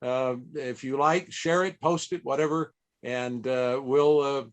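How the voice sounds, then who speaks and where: Halting middle-aged American man hosting casually. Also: mid-range voice, fairly even intonation. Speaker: event host and interviewer. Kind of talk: casual, chatty live hosting. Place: indoors on a video call.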